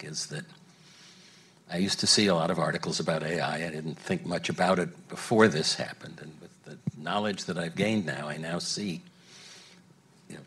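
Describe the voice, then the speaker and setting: Older man in his seventies, low husky voice, measured, panel talk. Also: fairly bright tone. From Los Angeles area. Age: 70s. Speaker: panelist, retired lawyer. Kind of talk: panel discussion. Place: large conference stage. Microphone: handheld mic.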